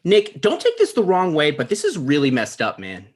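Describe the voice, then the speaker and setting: A man's voice: fairly high pitch, wildly swooping pitch, moderate pace. A man playing a self-important, insecure would-be talk show host, dismissive and argumentative, in a comedy table read. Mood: wounded.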